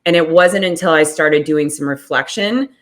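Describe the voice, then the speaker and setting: Woman co-host, deep voice, enthusiastic, encouraging. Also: normal speed. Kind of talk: casual interview chat.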